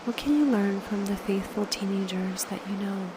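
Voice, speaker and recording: A woman leading a slow guided meditation, speaking softly and unhurriedly in short phrases with pauses, often posing reflective questions. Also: mid-range voice, noisy background.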